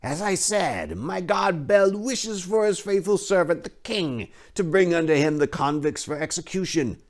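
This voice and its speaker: A man voicing a leering, menacing high priest of a dark god in a dark storybook reading, with a mocking, theatrical, drawn-out delivery. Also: animated. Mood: commanding, taunting.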